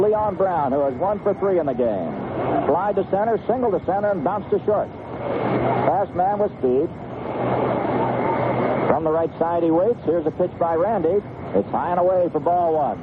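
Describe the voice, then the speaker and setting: American guy calling baseball on old am radio, high voice, super tinny. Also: expressive, moderate pace. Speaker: baseball play-by-play announcer. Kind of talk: live radio play-by-play commentary. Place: stadium broadcast booth. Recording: tinny, very noisy 1970s AM radio broadcast. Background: loud ambient noise.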